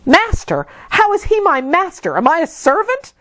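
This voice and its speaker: A woman voicing an indignant, defiant orphan girl of about ten in a storybook reading, high and protesting. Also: wildly swooping pitch, normal speed, fairly bright tone, heavily acted.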